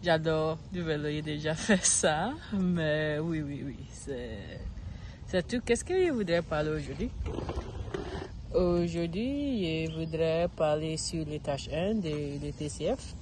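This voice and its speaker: Slow, halting woman outdoors. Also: deep voice, animated, darkish tone, a little hiss or hum. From Ghana. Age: 30s. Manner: halting, searching for words, frequent restarts. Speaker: vlogger learning French. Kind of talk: casual self-filmed practice monologue. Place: outdoors in a park.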